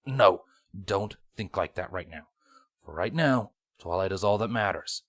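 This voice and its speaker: A man voicing a serious, weary stallion guard captain in a story, terse and deadpan. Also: sits low, measured pace, no echo, clean studio sound, voice pushed down, heavily acted. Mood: worried.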